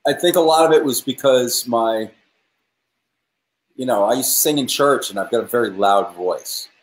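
Roomy-sounding New musician guest. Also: male voice, medium pitch, normal speed. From New England. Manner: earnest, animated storytelling. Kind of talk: casual video-call interview. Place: home room with guitars, indoors. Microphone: video call webcam. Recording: roomy webcam audio.

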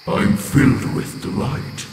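A man voicing a menacing, gloating animatronic jack-o'-lantern monster for a horror game animation, with a breathy, rasping growl and theatrical, taunting delivery. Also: takes it slowly, natural voice. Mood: gloating menace. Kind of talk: villain voice lines for horror game fan animation. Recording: cavernous echo, long reverb, noisy background.

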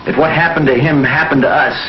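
An actor playing an earnest, commanding space hero with an authoritative manner in a 1950s television serial. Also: male voice, a little high, fairly even intonation, quick pace, a little hiss or hum, lightly acted. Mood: earnest, urgent. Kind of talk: 1950s television science fiction acting. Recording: echoey, muffled old TV soundtrack, faint music.

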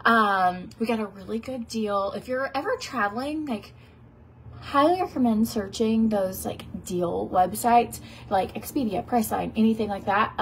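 Woman, 30s, sniffly, gravelly fry, rambling casual chat, phone at arm's length, faint background hum. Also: medium pitch, poor audio. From Tennessee, US. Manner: chatty and rambling, lots of likes and ums. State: sniffly from a cold. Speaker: beauty vlogger. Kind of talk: casual get-ready-with-me chat. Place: fancy hotel room, indoors. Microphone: phone held at arm's length.

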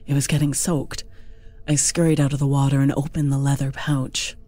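A narrator reading a scripted first-person fantasy story in a hushed, dramatic, deliberate manner. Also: mid-range voice, flat delivery. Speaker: audiobook narrator.

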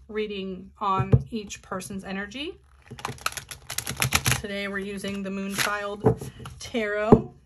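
A woman talking straight to her viewers, loose and chatty, full of little ums and self-corrections. Her pitch sits in the middle for a woman. She sounds like a hobby host explaining a card reading. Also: heavy background noise. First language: not Korean. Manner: chatty, many ums and restarts. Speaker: tarot reader, channel host. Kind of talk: casual talk to camera.

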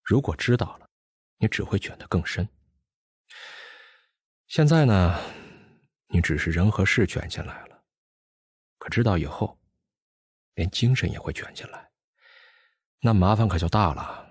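An actor playing a brooding, scornful physicist in mourning, with a sighing, world-weary manner, in an audio drama. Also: male voice, low-pitched, animated, unhurried, long pauses, husky, no echo, clean studio sound, exaggerated voice. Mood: cautioning.

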